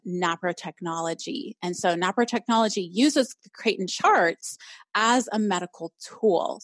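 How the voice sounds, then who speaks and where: A woman's voice: medium pitch, no room sound. A young woman hosting a casual solo podcast, chatty and upbeat, with a slightly nasal voice and frequent "um"s. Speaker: podcast host.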